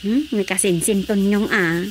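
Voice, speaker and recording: An actress playing an everyday wife at home in a radio drama, with an Ilocano accent. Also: female voice, medium pitch, fairly even intonation, measured pace, natural voice. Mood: conversational. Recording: faint cricket noise.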